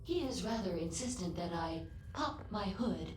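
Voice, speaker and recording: A loyal female android played by a woman speaks in a lowish, echoing voice over faint noise. She is formal, precise and matter-of-fact, a measured, literal-minded ship's assistant from a sci-fi game. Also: expressive, darkish tone, lightly acted. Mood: composed, factual. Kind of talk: scripted video game voice acting.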